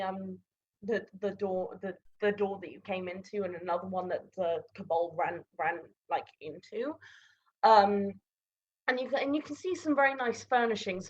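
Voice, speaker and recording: A woman running a tabletop game as game master, narrating casually in halting, improvised, informal descriptions. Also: mid-range voice. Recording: dull lo-fi audio.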